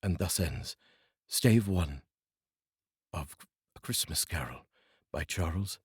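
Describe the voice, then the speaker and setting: Man, husky low voice, scripted dramatic narration, theatrical storyteller. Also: animated, slow, dry recording, studio quality. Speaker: narrator, reader.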